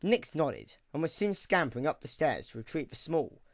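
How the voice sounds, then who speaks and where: A man's voice: fairly high pitch, big pitch swings, moderate pace, dry recording, studio quality. A man narrating a scripted story for an audio drama.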